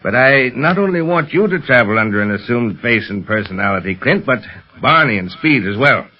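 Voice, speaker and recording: An actor playing a calm, authoritative police chief in a vintage radio drama, speaking in a measured, commanding way. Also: male voice, mid-range voice, close to an everyday voice. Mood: firm. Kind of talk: 1930s radio acting. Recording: dull lo-fi old radio recording.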